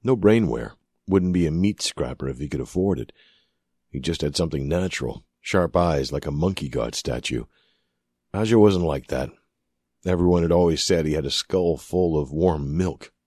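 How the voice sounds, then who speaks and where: A man's voice: very deep voice, animated, normal speed, darkish tone, dry recording, studio quality. A man narrating a piece of fiction from a script as an audiobook-style reader. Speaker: story narrator.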